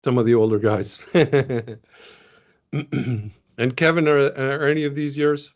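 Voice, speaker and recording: A man from Venezuela presents results in a loose, chatty webinar, full of ums and restarts. His voice sits at an ordinary middle pitch. It comes through a video call with dull, muffled audio. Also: a little slow.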